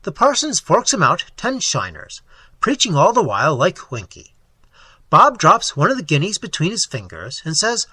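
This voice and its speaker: Man acting a loud, jovial, slangy old-time policeman. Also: on the high side, huge pitch swings, normal speed, husky, no room sound, heavily acted. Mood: relishing tale. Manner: slangy, rambling, relishing his own tale. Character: jovial cockney policeman, coarse, talkative, fond of grisly anecdotes. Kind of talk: audiobook character voice.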